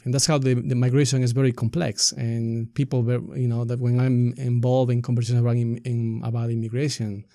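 Middle-aged Ecuadorian man, even mellow voice. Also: medium pitch, creaky, no echo, studio quality. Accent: Ecuadorian Spanish. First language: Spanish. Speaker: podcast guest, community activist and soccer coach. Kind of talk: conversational interview.

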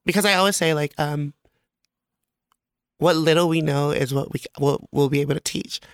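A woman's voice: deep voice, moderate pace, clear, resonant tone, dry recording, clean studio sound. A woman from American Samoa chatting casually as a podcast guest, joking and slangy in manner. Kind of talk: casual chat.

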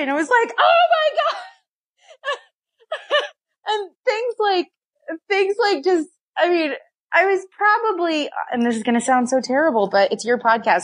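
A young woman, a podcast guest, telling stories about her past in a chatty way, laughing often and acting out what she once said to herself. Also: fairly high pitch. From raised in many countries, partly Scotland and Nevada. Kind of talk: casual storytelling.

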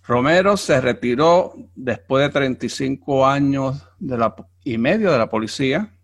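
A man's voice: mid-range voice, lively intonation, moderate pace. A man hosting a podcast, giving a formal, announcer-like introduction and asking interview questions. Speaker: podcast host.

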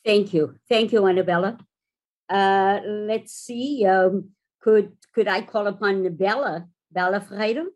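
A woman moderating an online panel, halting often with fillers as she introduces speakers. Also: medium pitch, steady tone, darkish tone.

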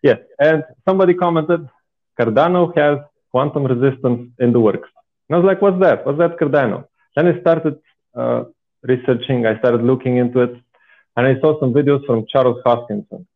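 A man's voice: medium pitch, normal speed. A man in his twenties with a Bulgarian accent, telling his story enthusiastically and emphatically as a podcast guest. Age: 20s. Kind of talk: casual interview talk, personal storytelling. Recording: phone-like, thin internet call audio.

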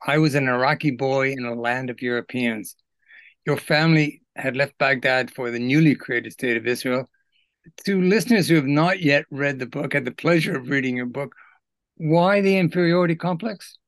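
A man's voice: mid-range voice, normal speed, fairly bright tone, no echo. Male podcast host, dry close sound. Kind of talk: scripted podcast introduction and interviewing.

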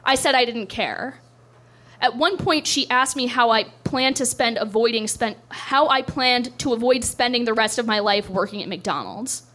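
A woman's voice: on the high side, normal speed, a bit bright. A young woman doing a stand-up set, telling a story in a dry, self-deprecating way on a club stage. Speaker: stand-up comedian.

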